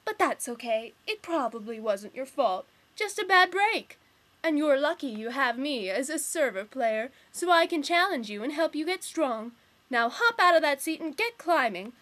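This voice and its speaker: A woman performs a high-pitched young troll girl in fandub style. She is bossy and theatrical, mocking whoever she talks to with impatient, sarcastic bite. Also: very animated, normal speed, a bit bright. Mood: lecturing, condescending. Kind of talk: amateur fandub audition acting.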